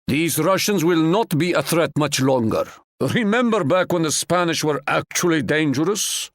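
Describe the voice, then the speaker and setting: Stately ottoman sultan, formal, proverbs, slightly high voice, dry studio sound. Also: dry recording. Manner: formal, measured, fond of proverbs. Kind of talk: video game voice lines.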